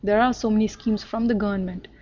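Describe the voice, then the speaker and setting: A woman's voice: mid-range voice, steady tone, fast, darkish tone, muffled recording, slight background noise. A woman from northern India with an Indian accent, chattily answering interview questions at length. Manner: chatty, explains at length with fillers. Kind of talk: casual conversational answers.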